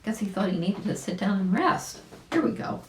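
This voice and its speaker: A woman reading a children's story aloud as a volunteer, unhurried and animated, with chatty asides. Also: medium pitch, slight background noise. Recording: roomy, echoing sound.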